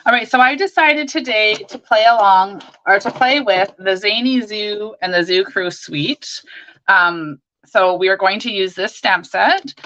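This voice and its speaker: Hoarse woman, bright voice, chatty live crafting demo, slightly dull audio. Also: medium pitch, measured pace, a little hiss or hum. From Canada. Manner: chatty, greets viewers by name, talks while working. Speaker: stamping demonstrator hosting a live stream.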